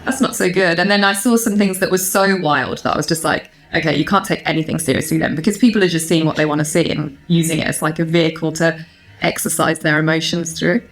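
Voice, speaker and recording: A woman's voice: low-pitched, fairly even intonation, quick pace, soft background music. A woman in her thirties chatting casually as a podcast guest, wry, reflective and articulate. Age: 30s. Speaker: podcast guest, reality show winner. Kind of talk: casual chat.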